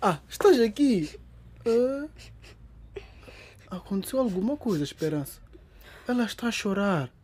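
A man's voice: high voice, very animated, a little slow, long pauses, a little mellow, heavily acted. An actor playing a supportive, protective young male cousin in a radio drama, caring and earnest. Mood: concerned.

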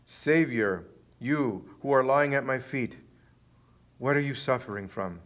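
A man's voice: mid-range voice, measured pace, fairly bright tone, voice pushed up, natural voice. Guy reading as a solemn holy healer in a storybook, kindly but stern, declaiming with authority. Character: Jesus, commanding authoritative healer, kindly yet stern man.

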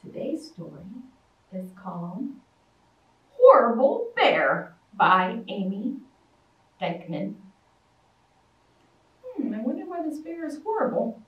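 A woman in her thirties reading a picture book aloud to young children at a library storytime, sing-song and animated, acting out shouts and roars. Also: fairly high pitch, takes it slowly, long pauses, far from the mic, highs cut off. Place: library storytime room with toys. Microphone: far camera. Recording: echoey room, voice from afar.

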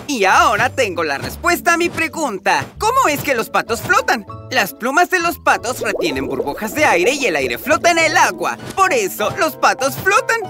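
A woman voicing a curious, excitable childlike male explorer in an educational children's cartoon dub. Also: high voice, normal speed, hardly any pauses, exaggerated voice. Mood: cheerful, eager. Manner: exclamatory, wondering. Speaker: lead character of the show. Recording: music under the voice. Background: music.

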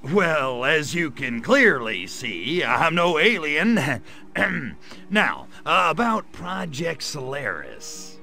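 A man voicing a sarcastic gray alien invader in a video game cutscene, delivering deadpan quips. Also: fairly high pitch, slow, creaky, faint music behind, full character voice. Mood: sardonic.

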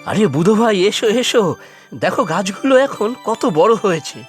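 A man voicing a warm, talkative villager in a folksy cartoon, with animated, emphatic delivery. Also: high-pitched, brisk, faint music behind. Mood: friendly. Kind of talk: Bengali cartoon voice acting.

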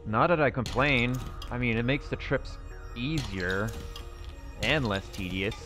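A man's voice: medium pitch, a little slow, fairly bright tone, faint music behind. A young man roleplaying through a survival game, musing aloud to himself with nervous outbursts. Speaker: gaming YouTuber roleplaying a character. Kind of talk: in-character gameplay commentary.

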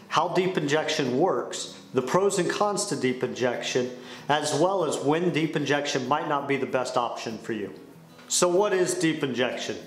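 Higher-voiced young man in an echoey room. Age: young adult. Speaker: sales director presenting a company explainer video. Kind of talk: scripted explainer to camera. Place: small indoor studio with dark wall panels. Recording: roomy echo on the voice.

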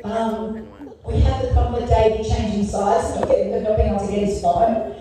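A woman's voice: fairly high pitch, steady tone, fairly quick. A woman speaking as a show director, giving notes to a cast in a theatre. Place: theatre hall. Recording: distant, very echoey hall, loud music.

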